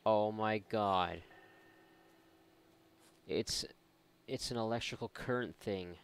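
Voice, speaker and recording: Male let's-player, lowish gravelly voice, sarcastic, mock-exasperated, sweary casual commentary. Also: slow. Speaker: video game let's-player. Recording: faint game noise behind voice.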